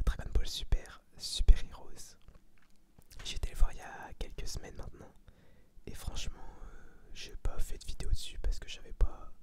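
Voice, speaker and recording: A young man giving a casual ASMR film review in a breathy whisper, slangy and often searching for words. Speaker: ASMR video creator.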